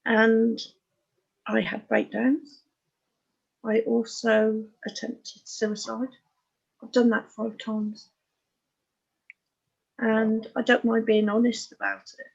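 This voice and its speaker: A woman in her sixties with an English accent, telling her life story as an interview guest in a frank, halting, matter-of-fact way. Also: on the high side, level tone, creaky, husky, lo-fi sound. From Oxfordshire, England. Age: 60s. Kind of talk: candid personal life story in conversation.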